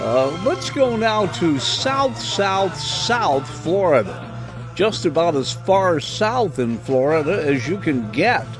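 An American man narrates a radio feature as host. His voice sits a little high for a man and moves with a lot of melody. He speaks in a folksy, explanatory way, directly to the listener, with music behind him. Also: very animated, takes it slowly. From small-town United States.

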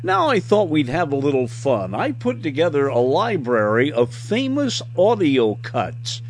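A man's voice: fairly high pitch, big pitch swings, a little slow. An older American man, a radio host, speaking conversationally as he interviews and reminisces. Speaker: radio host and veteran journalist. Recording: very noisy background.

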